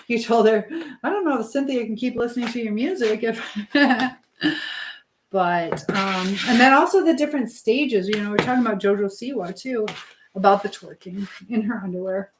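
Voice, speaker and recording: A woman's voice: a little high, moderate pace. A woman hosting a casual live stream, talking in a chatty, affectionate way with viewers and reading their comments aloud. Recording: clear background noise with clicking sounds.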